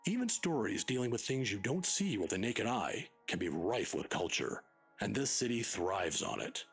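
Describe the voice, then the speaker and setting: A kindly old professor from a video game. His voice is husky and moves up and down with lively expression as he patiently explains things in a scholarly, exacting way. Faint music plays behind him. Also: fairly low pitch, normal speed, full character voice. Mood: patient, explaining. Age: older. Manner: scholarly, pedantic, given to chuckling.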